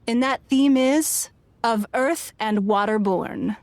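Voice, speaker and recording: Stately, fairly high-voiced noblewoman. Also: dry recording. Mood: gracious, formal. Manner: measured, formal, stately. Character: composed, authoritative noblewoman official. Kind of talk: formal speech, game acting.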